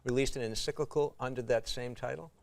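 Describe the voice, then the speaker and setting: A man's voice: medium pitch, fairly even intonation, brisk, vocal fry. A man cohosting a religious talk show, asking affirming, encouraging questions. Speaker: TV host. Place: TV studio.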